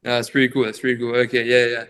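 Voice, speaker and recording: A man's voice: mid-range voice, monotone, fast talker, fairly bright tone, clean tone. Casual male host on a video call, friendly and rambling. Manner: friendly, repeats praise, many fillers. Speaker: project founder hosting a video call.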